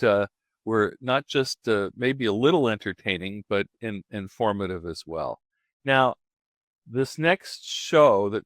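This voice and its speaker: A man's voice: medium pitch, no room sound. A man hosting a podcast, introducing the show and guest in a chatty, rambling way with many fillers. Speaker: podcast host. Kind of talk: casual podcast intro and interview.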